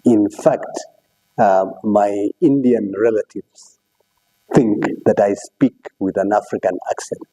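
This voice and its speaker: A man from Kenya, a guest on an interview show, answering in slow, deliberate, measured phrases. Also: on the low side, mellow, husky, low-quality recording.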